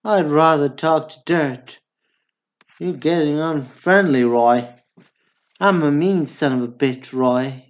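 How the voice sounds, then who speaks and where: A man's voice: fairly high pitch, a little mellow, muffled recording. A man acting in a stage play as one of two blunt, bantering Texan brothers, one a drunk war veteran. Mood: bitter, griping.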